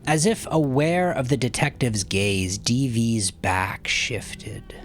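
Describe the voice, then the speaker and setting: A man narrating dark dramatic fiction in a brooding, theatrical way, with breathy hushed phrase endings. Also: mid-range voice, expressive, slow. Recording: music behind the voice. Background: music.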